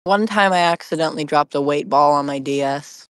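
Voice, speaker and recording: Deadpan conversational speaker, medium pitch, short casual remarks, dry close sound. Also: a little fast, clean tone, no echo. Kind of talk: casual group chat.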